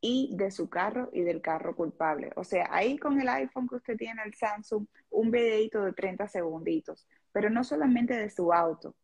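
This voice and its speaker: A woman's voice: medium pitch, normal speed, hardly any pauses, darkish tone, husky. A woman in her thirties with a Cuban-tinged Miami Spanish accent, a lawyer explaining things earnestly, step by step, as a radio guest. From Venezuela. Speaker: personal injury lawyer.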